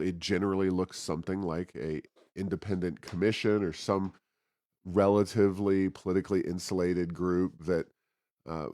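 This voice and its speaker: A man co-hosting a talk show, giving conversational political commentary in a halting way, often pausing mid-sentence. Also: deep voice, darkish tone, dry recording, clean studio sound. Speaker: talk show co-host, think tank president.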